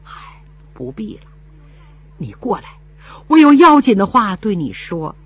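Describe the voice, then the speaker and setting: A woman voicing a gravely ill old mother, speaking weakly and tearfully in a spoken story. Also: fairly high pitch, very animated, moderate pace, no echo, lo-fi sound. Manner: weak, pleading, tearful.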